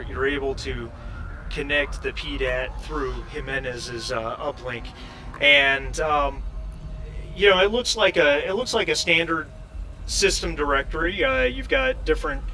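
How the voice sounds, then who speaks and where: Halting man with slow, creaky delivery. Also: a little high, sharp tone. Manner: halting, searching for words. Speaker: game master. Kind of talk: improvised tabletop game narration. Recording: distant mic, clearly noisy background.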